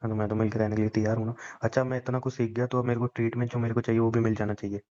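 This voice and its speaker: A man's voice: medium pitch, flat delivery, racing, dark tone. Young man teasing on a dull phone line. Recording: dull lo-fi phone call recording.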